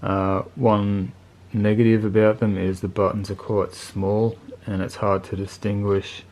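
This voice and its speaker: A man with a lowish voice talks through a piece of gear off the cuff. His delivery is very monotone and laid-back, with plain, colloquial phrasing. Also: measured pace, poor audio. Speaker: motorcycle video channel host reviewing gear. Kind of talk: casual unscripted product review.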